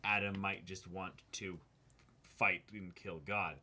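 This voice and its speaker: Lowish voiced guy with a bright punchy tone, blunt casual reaction show chat, swears a bit. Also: little pitch movement, slow pace, a little hiss or hum. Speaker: co-host of an anime reaction show. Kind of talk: casual discussion, anime commentary.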